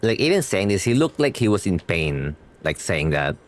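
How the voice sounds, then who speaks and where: A man's voice: low-pitched, sing-song, normal speed. A young man giving casual, slangy reaction commentary as a game streamer, rambling and rephrasing as he goes.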